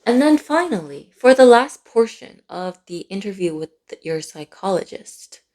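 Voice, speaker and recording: A woman vlogger chatting casually and playfully through a personal story, sounding a little sleep-deprived, with animated asides. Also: mid-range voice. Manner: playful, animated asides with giggles and drawn-out words.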